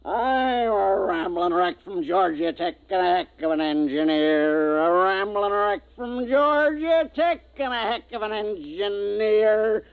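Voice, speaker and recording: A raucous, boozy spaceship engineer from 1950s radio drama. His voice rides high and wobbles through slurred, slow, sing-song phrases. The old recording is slightly muffled. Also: expressive, creaky, clean tone, exaggerated voice. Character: drunk, raucous, sentimental spaceship engineer, a man.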